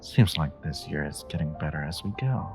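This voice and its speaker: A man playing a flirty, charming stranger in an intimate romantic audio roleplay, with teasing, drawn-out, murmured lines. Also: extremely low pitch, lively intonation, creaky, music in the background, heavily acted. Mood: playful, flirty.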